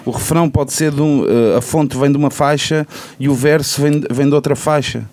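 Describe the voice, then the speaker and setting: Casting: male musician, slightly high voice, casual explainer who repeats himself searching for words. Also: fairly even intonation, normal speed, darkish tone. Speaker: musician, band member being interviewed. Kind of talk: casual explanatory interview talk.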